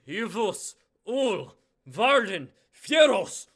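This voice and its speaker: Earnest young male novice mage, anxious, widely swinging pitch, fantasy script reading acted by a man. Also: sing-song, extremely slow, fairly bright tone, breathy edge, heavily acted. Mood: shouted incantation. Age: young adult. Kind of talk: amateur dramatic script reading.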